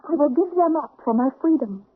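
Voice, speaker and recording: A woman's voice: high voice, animated, a little fast. An actress playing a grieving, fearful countess pleading to escape her husband, in old-time radio drama style. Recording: tinny band-limited vintage radio recording.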